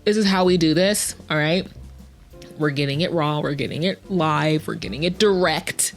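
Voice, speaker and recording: A woman with a low voice hosts a chatty podcast over faint music. She talks casually and playfully, with plenty of slang. She often cuts into her own sentences and repeats words to make a point. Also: moderate pace. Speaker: podcast host, writing coach. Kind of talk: casual, chatty solo podcast answering listener-style questions.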